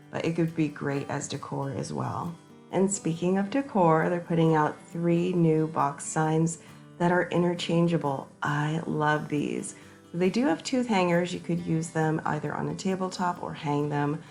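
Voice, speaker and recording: A woman narrating a casual shopping vlog, hoarse and raspy from a sinus infection, chatty and enthusiastic as she talks to her viewers. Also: low voice, a little mellow. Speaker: shopping vlogger. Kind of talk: casual shop-with-me voice-over.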